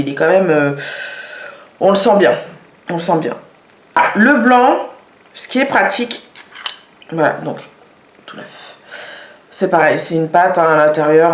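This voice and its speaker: A woman's voice: lowish voice, measured pace, pauses often. A woman showing products in a casual vlog, talking with many hesitations and fillers. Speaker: vlogger. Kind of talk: casual product show-and-tell. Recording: echoey room.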